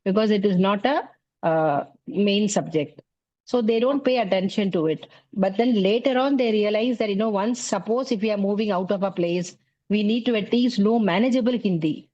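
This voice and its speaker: A woman's voice: medium pitch, moderate pace, muffled recording. Middle-aged Indian English woman, filler-heavy. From South India. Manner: relaxed, many "you know, like" fillers. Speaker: Hindi teacher, guest. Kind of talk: conversational interview.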